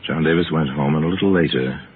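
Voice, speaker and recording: An actor playing a grave, wry narrator of an old-time radio crime tale, speaking in a measured, dramatic way. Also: male voice, rumbling low, a little hiss or hum. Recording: lo-fi phone-like old radio recording, music.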